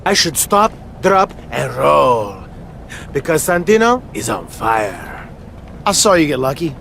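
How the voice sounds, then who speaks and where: A man's voice: on the high side, very animated, takes it slowly, creaky, a bit bright, slight background noise, heavily acted. A man playing a boastful, clownish, dim-witted wrestler who brags about himself in the third person in a video game. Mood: boastful, comic. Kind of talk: comic video game cutscene acting.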